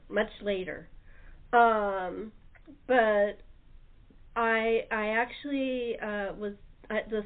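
Female chat show host, mid-pitched, loose rambling delivery, narrow telephone-grade audio. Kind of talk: unscripted group chat. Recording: tinny narrow phone line.

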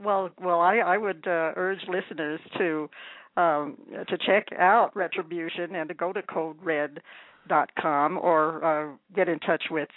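A man hosting a radio interview, asking questions in a halting way with many restarts. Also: sits high, no echo. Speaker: radio show host. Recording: tinny phone-line sound.

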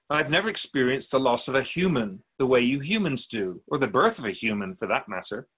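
A man playing a wise, patient otherworldly spirit in a radio drama, explaining things in a measured, gently teasing way. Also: mid-range voice, husky, phone-quality sound. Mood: earnest, respectful. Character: Keeper of Souls' Purpose, wise otherworldly spirit, patient and curious.

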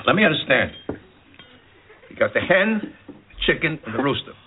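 A man's voice: on the high side, very animated, moderate pace. A man playing a cranky, argumentative older father in a television sitcom, firing off insistent, nitpicking questions. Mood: insistent, agitated. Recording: tinny, very noisy TV clip.

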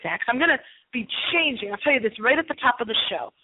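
Woman radio host, high voice, campy spooky delivery, rambling, playful, thin phone-like sound. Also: very animated, quick pace, breathy edge. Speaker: host of a paranormal call-in internet radio show. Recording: lo-fi phone-like line with hiss.

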